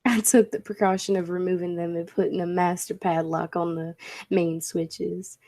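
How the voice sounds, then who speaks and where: A woman's voice: fairly low pitch, little pitch movement, normal speed, mellow, lightly acted. A woman playing a proud, driven inventor and time traveler in a 1940s-style radio play, speaking in formal, theatrical phrases. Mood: assured.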